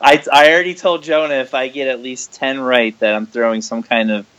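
A man's voice: medium pitch, fairly even intonation, a little fast, lo-fi sound, noisy background. Joking young man hosting a podcast. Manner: joking, self-deprecating. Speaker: podcast host. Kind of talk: casual podcast chat.

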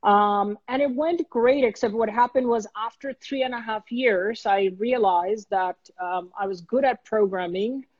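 A man, a guest in an interview, telling his career story in an earnest, steady way with many small restarts. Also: high voice, a little slow, hardly any pauses, dry recording, lo-fi sound. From Bangladesh.

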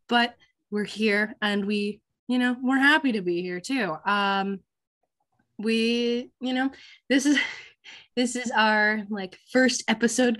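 A teenage girl hosting a casual book podcast, a bit hoarse from a cold, chatty and full of ums and likes. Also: fairly high pitch, fairly bright tone. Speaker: podcast host.

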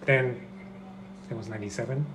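Brief: man in his fifties from Singapore, podcast guest, hesitant and full of fillers, mid-pitched, over clear background noise. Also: steady tone. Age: 50s. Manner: halting, with frequent "uh" fillers. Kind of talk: casual interview answers. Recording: noisy room recording.

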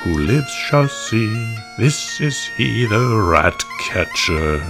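A man voicing a mysterious, mocking bagpiper in a storybook reading, in a slow sing-song chant. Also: deep voice, creaky, music in the background, voice pushed down, exaggerated voice.

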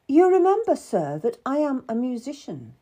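A woman narrator plays a Tudor servant woman in a historical audiobook. Her voice sits high and moves freely in pitch. She is earnest and urgent, beseeching her betters. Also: sing-song, normal speed, dark tone, breathy edge, full character voice. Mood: proud, earnest. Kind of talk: dramatised audiobook character.

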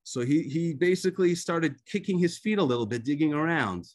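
A man whose first language is Hebrew tells a personal story as an invited speaker. His speech is halting, full of fillers and restarts. It comes through a video-call connection. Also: a little high, expressive, a little mellow. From United States. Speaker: author and guest speaker.

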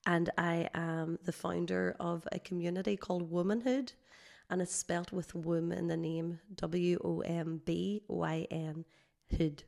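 A woman guest, a holistic healer, explaining her work conversationally in a measured, reflective way, slightly hoarse from a cold. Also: on the low side, fairly even intonation, a little mellow.

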